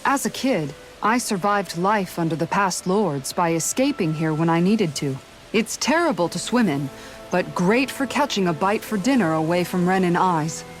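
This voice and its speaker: An actress playing an earnest, resolute young woman guard in a video game cutscene, speaking in a measured, formal, sincere way. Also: lowish voice, lively intonation, faint music behind, close to an everyday voice, low-quality recording. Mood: relaxed, reminiscing.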